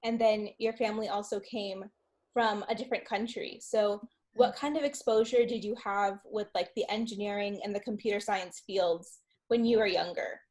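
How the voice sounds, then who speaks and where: A woman's voice: medium pitch, steady tone, moderate pace, a little mellow. A young woman hosting an interview show, reading an earnest introduction and asking questions.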